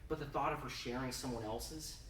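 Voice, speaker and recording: A man reading his own story aloud with intense, theatrical delivery and emphatic pauses. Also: medium pitch, fairly bright tone. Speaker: author reading own fiction. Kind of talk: dramatic live story reading. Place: indoor convention reading room. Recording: roomy, distant, noisy live event recording.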